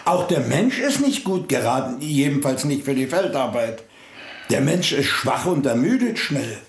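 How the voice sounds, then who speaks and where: An actor in a radio play playing a humble, weary middle-aged farmer who speaks in a hesitant, earnest, plain way. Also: male voice, mid-range voice, very animated, normal speed. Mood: humble, pleading. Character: humble, plain-spoken, weary married farmer pleading with his priest. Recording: echoing, reverberant room.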